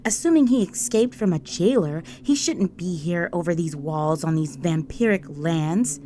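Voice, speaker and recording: A woman's voice: fairly low pitch, lively intonation, measured pace, mellow, slight background noise. A woman voicing a deferential human guard serving a vampire countess, formal and earnest, in a scripted audio roleplay. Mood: urgent, protesting.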